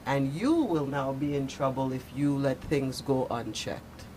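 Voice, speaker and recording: A woman's voice: rumbling low, measured pace, a little mellow, poor audio. A woman speaking earnestly and emphatically in a conversational panel discussion. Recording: faint background noise, room ambience.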